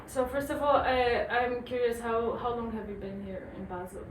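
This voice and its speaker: A woman conducting a casual interview, asking questions tentatively with pauses. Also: fairly high pitch, steady tone, slight background noise. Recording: echoey room, distant mic.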